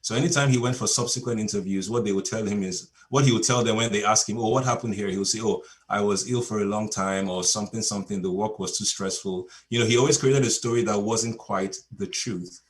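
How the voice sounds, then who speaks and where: A man from Nigeria, a native Yoruba speaker, giving a relaxed, story-filled coaching talk over a video call. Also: lowish voice, quick pace, few pauses. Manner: storytelling, relaxed, chuckles between points.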